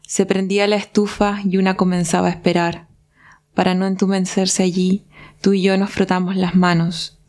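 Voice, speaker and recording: A woman's voice: mid-range voice, level tone, darkish tone. A woman from southern Chile with a Chilean accent, speaking thoughtfully and reading her own poem aloud in a writing workshop. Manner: thoughtful, unhurried, with pauses. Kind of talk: reflective talk and poetry reading.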